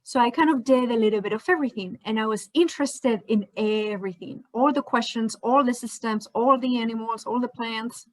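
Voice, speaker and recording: A woman's voice: on the high side, fairly quick, hardly any pauses, rough recording. A woman in her twenties whose first language is Spanish, narrating an educational slideshow as a PhD student, in a friendly manner with frequent fillers. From Spain.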